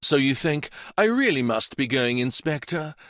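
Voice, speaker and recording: A man doing a brisk, curt businessman. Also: mid-range voice, lively intonation, fairly bright tone, dry recording, clean studio sound, pitched above the performer's own voice, close to an everyday voice. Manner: hurried, curt. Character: brisk middle-aged businessman, dismissive.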